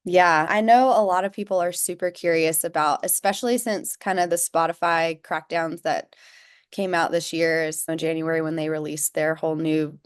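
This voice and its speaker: A woman hosting a casual industry chat, upbeat and enthusiastic, with lots of quick affirmations. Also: low-pitched, steady tone, smooth. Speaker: interview host.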